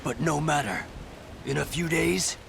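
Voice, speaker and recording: A man's voice: on the high side, measured pace, gravelly, a bit bright, slight background noise, full character voice. An actor playing a menacing, commanding captain in a video game, imperious and threatening as he hunts a noble. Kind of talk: dramatic video game villain acting. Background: music.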